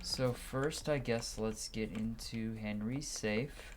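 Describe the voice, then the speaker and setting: A man's voice: on the low side, takes it slowly, music in the background. A man giving casual commentary as he plays a video game. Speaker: gaming video host. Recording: clearly noisy background.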